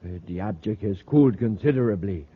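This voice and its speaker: Excitable husky professor, old-radio sound. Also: male voice, on the low side, a little fast. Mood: scholarly. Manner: fussy, hesitant, polite, scholarly. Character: eager, excitable male professor, a meteorite expert. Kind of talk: 1950s radio drama acting. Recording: tinny lo-fi vintage radio recording.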